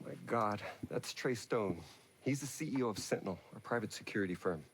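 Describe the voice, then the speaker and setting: An actor playing an earnest, troubled tech company founder in a video game, speaking a little hesitantly. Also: male voice, lowish voice, a little fast, slight background noise, close to an everyday voice. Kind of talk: video game cutscene acting.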